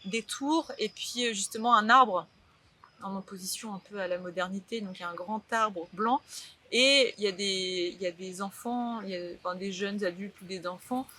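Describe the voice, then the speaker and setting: A woman's voice: mid-range voice, moderate pace, pushed and bright, a little hiss or hum. Hesitant young woman painter chatting. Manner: hesitant, many fillers and restarts. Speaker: painter, interview guest. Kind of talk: casual interview chat.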